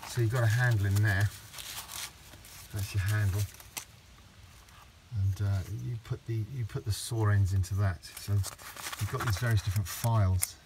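A middle-aged man with a low voice, rambling through a casual hands-on garden demonstration with lots of restarts. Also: steady tone, moderate pace, heavy background noise. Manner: rambling, with many restarts and fillers. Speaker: DIY vlogger. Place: outdoors in a garden.

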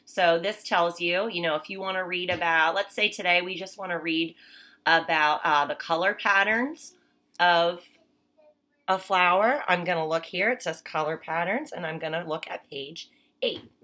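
A woman's voice: medium pitch, bright, forceful tone, a little hiss or hum. A woman in her thirties giving a read-aloud lesson for children, explaining simply and often checking in with short tags. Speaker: mother and teacher reading aloud for children. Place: indoors, small room at home.

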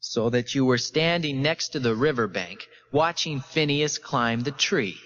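A brusque schoolboy interrogator, voiced by a man reading a novel, puts questions with studied casualness and tight control. His pitch is middling and the sound slightly dull. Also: animated, measured pace, a bit bright, big-room sound. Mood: probing. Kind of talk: audiobook character reading.

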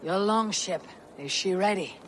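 A man's voice: high-pitched, a little slow, a bit bright, a little hiss or hum. A man playing a resolute young Viking warrior leader in a video game, earnest and commanding. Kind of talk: dramatic video game cutscene acting.

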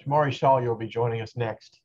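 A middle-aged man with a mid-pitched voice briskly calls on reporters one by one. His audio comes through a dull, lo-fi video call from an office. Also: steady tone, darkish tone, a little hiss or hum. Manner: brisk, businesslike. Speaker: press conference moderator. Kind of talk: calling on reporters. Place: office, indoors.

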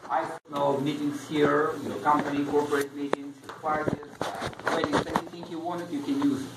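A man leads a tour with informal explanations. His fairly high voice comes from some distance through strong echo in a big indoor space. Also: level tone, moderate pace. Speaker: tour guide. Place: inside a large stadium building. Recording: echoey, distant, very noisy phone recording.

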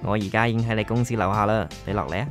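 A man's voice: lowish voice, steady tone, very fast, close to an everyday voice. An actor playing a considerate, attentive man courting a woman in a radio drama, with a Hong Kong Cantonese accent. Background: faint background music.

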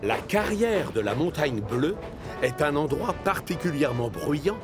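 A man's voice: on the high side, very animated, measured pace, music in the background. A man reading scripted narration for a children's cartoon.

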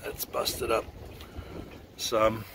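Deep-voiced man touring a docked boat. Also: extremely slow, background noise. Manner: relaxed, thinking aloud, trailing off. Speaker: boat owner touring a yacht. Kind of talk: casual walkthrough commentary. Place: outdoors on a docked boat in a marina.

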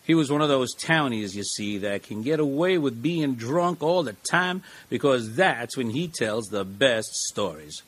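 Man acting folksy, animated small-town lawyer, husky voice. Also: mid-range voice. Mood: folksy storytelling. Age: middle-aged. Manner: folksy, rambling, deliberate storyteller. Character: genial, eccentric, folksy small-town defense lawyer, middle-aged man. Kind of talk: audio drama acting.

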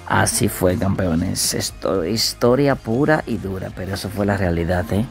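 A man in his thirties reacts to a speech, chatting to his viewers at a home webcam. His low voice has a gravelly fry, and music plays behind him. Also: moderate pace. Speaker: reaction streamer. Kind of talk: casual commentary.

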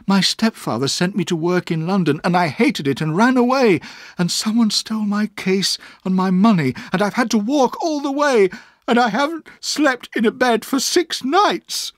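A man's voice: high-pitched, animated, slow, no echo, clean studio sound, voice pushed up, full character voice. A man voicing a frightened, earnest runaway young boy who pleads politely and tearfully.

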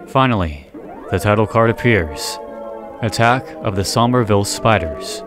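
A man reading scripted analysis narration in a measured, deliberate way with dramatic pauses. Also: fairly low pitch, animated, music in the background. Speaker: video essay narrator.

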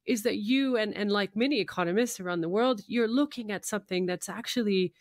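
A woman's voice: medium pitch, a little fast, dry recording. Woman radio interviewer, close dry sound. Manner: admiring, reflective, recapping the guest's points.

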